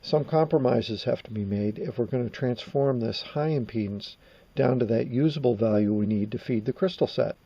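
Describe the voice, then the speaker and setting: A man in his sixties presenting an informal technical explanation in a halting way, with frequent pauses, in a small room at home. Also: medium pitch, vocal fry, mellow, slightly rough, distant mic, dull audio. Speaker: presenter of a vintage radio electronics channel.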